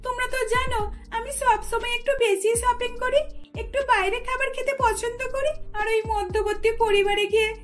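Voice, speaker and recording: A woman's voice: very high-pitched, steady tone, fairly quick, soft background music, voice pushed up. A woman voicing a spoiled young rich daughter in a cartoon, whiny and wheedling. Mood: worried. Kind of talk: cartoon dubbing.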